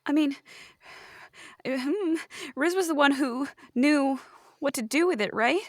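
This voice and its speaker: A woman's voice: sits high, slow pace, no room sound, clean studio sound. A woman improvising in-character dialogue as a player in a roleplay podcast.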